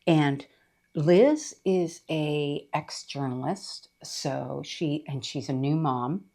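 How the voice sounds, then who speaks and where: A middle-aged woman chatting casually about books she read, her voice rough after being sick, rambling with lots of fillers. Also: sits low, takes it slowly, dark tone. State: voice rough from recent illness. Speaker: book reviewer. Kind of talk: casual book review chat.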